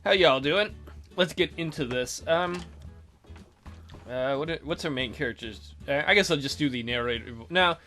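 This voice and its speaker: A man's voice: on the high side, normal speed, sharp tone, faint music behind. A man hosting a let's play, reading a visual novel aloud with joking, mock-incredulous asides. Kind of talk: casual game commentary and reading aloud.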